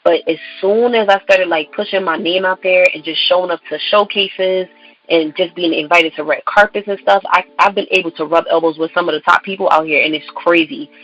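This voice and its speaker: A woman's voice: fairly low pitch, steady tone, fairly quick, dull audio, soft background music. A young woman from Brooklyn, a guest artist on a radio interview, who talks in an upbeat, chatty way with lots of "um" and "like.". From Brooklyn, New York.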